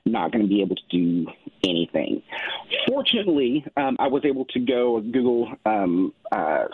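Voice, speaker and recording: A man calling a radio show by phone, casually explaining a problem in a halting way. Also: fairly low pitch, very animated, husky, dry recording. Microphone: telephone. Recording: narrow muffled phone line.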